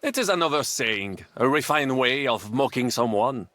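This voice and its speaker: An actor voicing a suave, patient foreign gentleman who teaches courteously in a video game. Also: medium pitch, animated, normal speed, no echo, lightly acted. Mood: smooth, confident. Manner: courteous, instructive, flowery.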